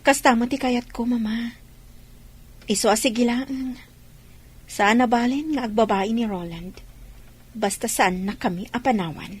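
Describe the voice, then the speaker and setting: A woman's voice: a little high, measured pace, long pauses, breathy edge. An actress with a Filipino accent playing a weary, hurt wife and mother in a radio drama. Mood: hurt, anxious. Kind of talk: Ilocano radio drama acting.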